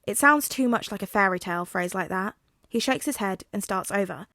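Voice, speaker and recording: A woman narrates a piece of fiction from a script. Her pitch is average for a woman. The recording is very dry, with little room sound. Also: expressive, fairly quick, sharp tone, no echo.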